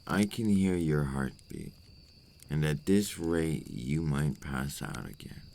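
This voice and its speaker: A man performs a brooding vampire in an intimate audio roleplay. His voice sits extremely low, with a heavy gravelly creak. He speaks slowly and formally, like a cursed creature full of regret, over faint background noise. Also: darkish tone, heavily acted. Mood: gentle, reassuring. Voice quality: very deep, gravelly. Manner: slow, deliberate, formal phrasing. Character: remorseful adult male vampire, brooding and tormented by his curse. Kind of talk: ASMR roleplay acting, intimate monologue.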